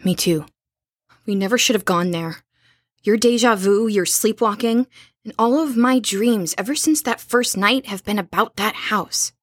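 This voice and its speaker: A woman acting an anxious, resolute young woman in an audio horror drama, speaking in a husky, earnest, urgent hush. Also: medium pitch, measured pace, no room sound, clean studio sound. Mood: uneasy.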